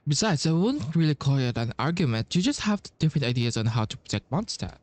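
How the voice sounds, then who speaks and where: A man giving casual playthrough commentary as a game streamer, halting as he thinks aloud. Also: medium pitch, big pitch swings, a little mellow, a little hiss or hum. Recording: game music behind voice. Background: video game music.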